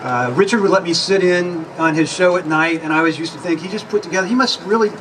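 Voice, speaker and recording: A man's voice: high voice, quick pace. A man in his sixties casually reminiscing to an audience, acting out bits of old conversations. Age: 60s. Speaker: former radio intern, panel guest. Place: public event with audience. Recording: distant event mic, background noise.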